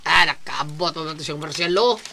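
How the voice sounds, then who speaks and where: Guy doing a drunk bragging bisaya dude in a comedy skit, high voice, cebuano accent, noisy audio. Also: a little fast, vocal fry, pushed and bright, heavily acted. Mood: boastful. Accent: Cebuano Filipino. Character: tipsy boastful man arguing with drinking buddies.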